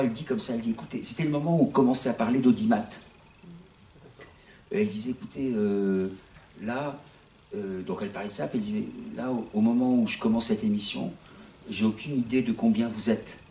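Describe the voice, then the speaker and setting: A man's voice: fairly low pitch, a little mellow, a little hiss or hum. A man in his sixties running an online news outlet, talking casually to a small audience in a rambling way, with many restarts. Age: 60s. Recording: echoey room, voice from far off.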